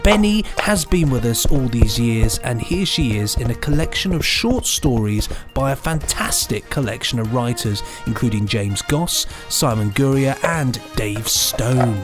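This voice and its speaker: A man's voice: medium pitch, lively intonation, a little slow, music in the background. A man co-hosting a podcast, presenting news in an upbeat style with playful, teasing banter. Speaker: podcast co-host.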